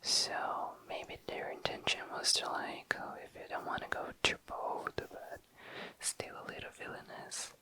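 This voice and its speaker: An ASMR creator speaking in a breathy, unvoiced whisper, lingering softly over words as in a relaxed show-and-tell, close to the microphone. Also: measured pace.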